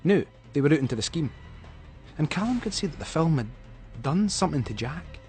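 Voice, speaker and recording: A man's voice: fairly high pitch, sing-song, a little fast, darkish tone, soft background music. A man with a Scottish accent giving a dramatic, suspenseful narration of a story in colloquial Scots dialect.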